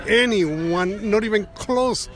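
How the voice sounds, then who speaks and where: A man's voice: high voice, slow. A man speaking sternly as an interviewee, issuing a warning.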